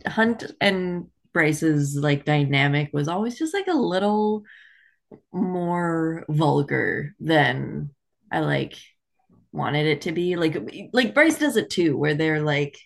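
Lady book podcaster, slightly deep voice, wry and informal, lots of likes. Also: very animated, slow, creaky. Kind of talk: casual opinionated chat.

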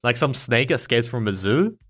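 A male podcast host in easygoing conversation, playful and quick to tease. His pitch swings widely as he talks and laughs. Faint music plays quietly in the background. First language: not Chinese. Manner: teasing, laughs often, lively swings in pitch. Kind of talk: casual chat.

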